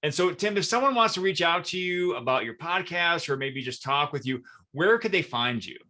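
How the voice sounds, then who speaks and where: A man's voice: fairly high pitch, animated, normal speed, bright, forceful tone. A man hosting a conversational podcast interview in an earnest, encouraging manner. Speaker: podcast host.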